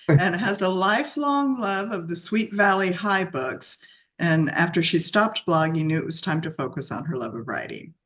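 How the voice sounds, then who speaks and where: A woman's voice: fairly low pitch, animated, normal speed, fairly bright tone. A woman from Dallas, co-hosting a book podcast and reading a guest's bio aloud in a bubbly, laughing way. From Dallas, Texas, USA. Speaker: podcast co-host.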